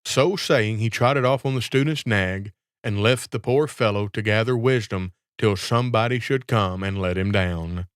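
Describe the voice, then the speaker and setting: A man's voice: on the low side, normal speed, few pauses, clear, resonant tone, no room sound. Man narrating fairy tales, dry sound. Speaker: storyteller. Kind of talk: scripted fairy tale narration.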